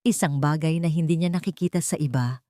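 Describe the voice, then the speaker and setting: A woman's voice: low voice, fairly quick, mellow, no echo, clean studio sound. A woman with a Filipino accent reading a dramatic romance story as scripted narration.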